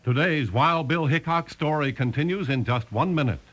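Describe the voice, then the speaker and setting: A man's voice: a little high, moderate pace. A man narrating an old radio western serial in scripted announcer style. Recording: old radio broadcast recording. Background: music.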